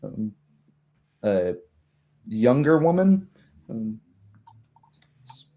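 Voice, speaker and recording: A man narrating an improvised tabletop game as game master, in a halting, hesitant way. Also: mid-range voice, very animated, dull audio.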